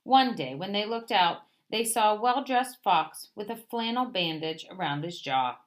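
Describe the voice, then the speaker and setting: A woman reading a children's picture book aloud in an expressive storytelling way. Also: mid-range voice, a little slow.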